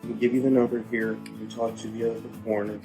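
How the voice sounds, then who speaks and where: A man playing a weary, grieving father in a tabletop game, halting, subdued and pleading. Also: medium pitch, little pitch movement, over music, lightly acted, low-quality recording.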